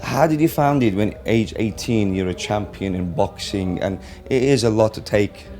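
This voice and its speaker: Lower-pitched male interviewer, polite and halting, live from a trade fair stand. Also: dark tone, soft background music. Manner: halting, effusively polite, loose phrasing. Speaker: interviewer for a brand's web TV.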